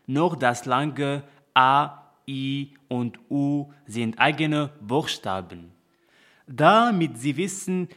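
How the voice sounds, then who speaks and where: A man's voice: fairly high pitch, fairly bright tone. A man in his twenties from Morocco, with a Moroccan accent and Arabic as his first language, carefully reading a prepared presentation. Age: 20s. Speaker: student presenter. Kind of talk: scripted presentation.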